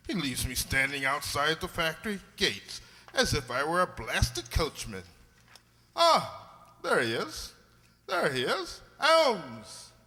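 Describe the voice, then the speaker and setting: A man acts out a blustering, kindly gentleman doctor for a radio play. His voice is fairly high and bright, leaping freely up and down. Old-fashioned exclamations burst out of him. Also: big pitch swings, takes it slowly, exaggerated voice. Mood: impatient. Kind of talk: radio drama acting. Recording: reverberant hall sound.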